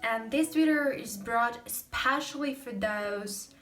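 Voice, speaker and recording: A woman's voice: fairly high pitch, takes it slowly, a bit bright, roomy sound, recorded from a distance, soft background music. A young woman with a Russian accent, hosting a casual language lesson, explaining chattily with many fillers. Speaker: host.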